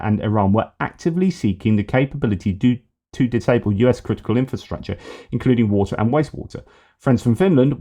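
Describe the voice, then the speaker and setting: A man with a low, warm, relaxed voice talks through the day's news articles from a desk microphone. He reads the quotes out and keeps stopping for casual asides to his viewers, correcting himself as he goes. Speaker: news commentary host.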